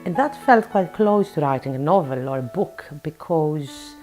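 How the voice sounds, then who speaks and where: A woman's voice: low-pitched, lively intonation, normal speed, darkish tone, soft background music. A woman in her forties from Greece, an author and psychotherapist, answering interview questions in a reflective way with frequent ums. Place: her office.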